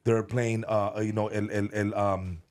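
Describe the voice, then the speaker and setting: A man's voice: fairly low pitch, flat delivery, normal speed, darkish tone. A man talking as a podcast guest in casual chat, rambling with many restarts and repeated words, and swearing freely. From United States. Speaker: comedian and music video director, podcast guest.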